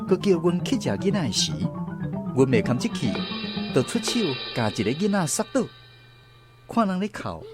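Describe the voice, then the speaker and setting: A man with a Taiwanese accent narrating a scripted first-person story for a radio drama. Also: mid-range voice. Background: faint guitar music.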